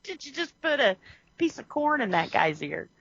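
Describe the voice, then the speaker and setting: A woman co-hosting a podcast, chatting casually about a movie, animated and quick to laugh. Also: high-pitched, vocal fry, no echo. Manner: animated, laughs often, acts out quotes. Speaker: podcast co-host. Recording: muffled lo-fi audio.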